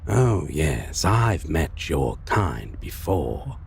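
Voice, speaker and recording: A man playing a taunting, menacing vampire in a dark fantasy audio roleplay, speaking deliberately and mockingly, at times in breathy whispers. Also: sing-song, slight background noise, heavily acted. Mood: sinister amusement. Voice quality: gravelly, low. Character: taunting, menacing male vampire, a lost love turned monster.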